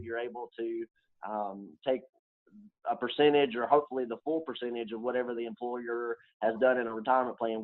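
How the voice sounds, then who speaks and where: A man comes through on a thin, phone-like line. He is an interview guest who explains terms instructively, in a mid-pitched voice. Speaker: guest benefits advisor.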